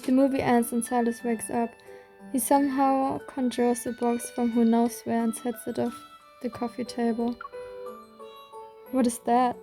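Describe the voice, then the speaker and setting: A woman reading a game's story aloud in a steady first-person voice. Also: on the high side, measured pace, faint music behind. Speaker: reader.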